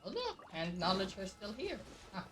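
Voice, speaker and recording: A woman's voice: mid-range voice, wildly swooping pitch, normal speed, far from the mic, over music. A woman streamer giving casual gameplay commentary, thinking aloud and muttering to herself as she plays. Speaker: game streamer.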